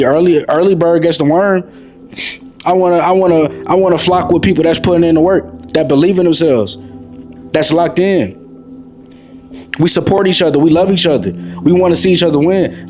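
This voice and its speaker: A young man in his twenties delivers a motivational talk in a slightly high voice. He preaches and repeats himself, calling the listener bro. Faint music plays behind him. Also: darkish tone. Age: 20s. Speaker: motivational vlogger. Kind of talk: motivational monologue to camera.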